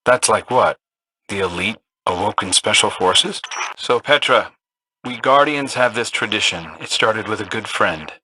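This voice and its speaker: An actor playing an earnest, brooding young warrior in a video game, conversational, with a husky voice. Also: male voice, on the low side, expressive, measured pace, noisy background. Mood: friendly.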